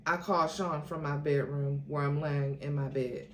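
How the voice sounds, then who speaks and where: A woman's voice: deep voice, fairly even intonation, normal speed. A woman reading a story aloud in a dramatic, theatrical way, as a host would. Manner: theatrical, acts out quoted dialogue. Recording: roomy echo with faint noise.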